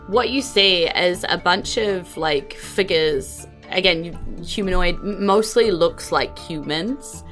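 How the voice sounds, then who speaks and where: A woman's voice: lowish voice, takes it slowly, few pauses, fairly bright tone, soft background music. A woman narrating a tabletop game as its host, in an informal, conversational, descriptive way. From New Zealand. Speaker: game master and podcast host.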